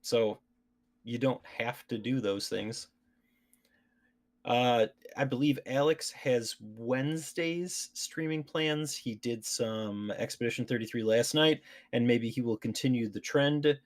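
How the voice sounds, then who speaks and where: A man's voice: mid-range voice, slow. A man hosting a casual gaming podcast, chatting informally with his co-hosts. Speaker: podcast host.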